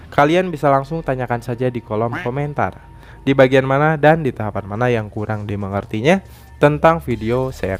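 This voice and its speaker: A man narrates an app tutorial in an explanatory way, often tagging his sentences with a short "ya". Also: medium pitch. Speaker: tech tutorial YouTuber. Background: background music.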